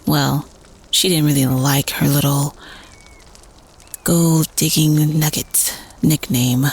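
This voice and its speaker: A woman playing a teenage prep-school student telling a spooky campfire story casually in an audio roleplay, conspiratorial and at times hushed. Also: low-pitched, steady tone, takes it slowly, breathy edge, heavily acted. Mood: chatty, teasing.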